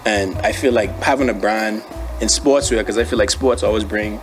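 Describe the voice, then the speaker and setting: A man's voice: on the low side, fairly even intonation, moderate pace. Laid-back young Bahamian man over music. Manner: laid-back, slangy, frequently says bro and you know what I mean. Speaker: interview guest, former athlete and brand owner. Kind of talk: casual conversational interview. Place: studio. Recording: music playing behind the voice.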